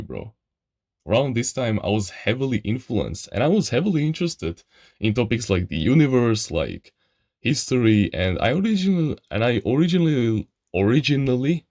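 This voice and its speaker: A man's voice: fairly low pitch, a little mellow, no room sound, clean studio sound. A young man from Eastern Europe, a non-native English speaker, telling a personal story in a chatty, slangy way and calling the listener bro. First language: an Eastern European language. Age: young adult. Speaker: channel host. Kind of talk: casual storytelling monologue.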